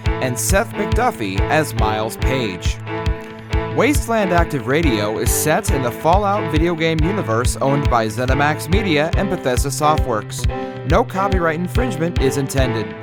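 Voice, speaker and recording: A man, one of the show's creators, reading the credits in a clear, steady way. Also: on the high side. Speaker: show creator and narrator.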